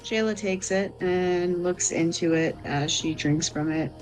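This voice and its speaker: A woman's voice: lowish voice, measured pace, darkish tone, faint music behind, low-quality recording. A woman playing a tabletop game, narrating her character's feelings and actions.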